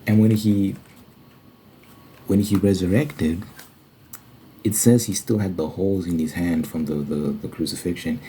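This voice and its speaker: A man's voice: sits low, warm tone, a little hiss or hum. A man giving an informal, earnest Bible study monologue in an ASMR style, repeating phrases for emphasis.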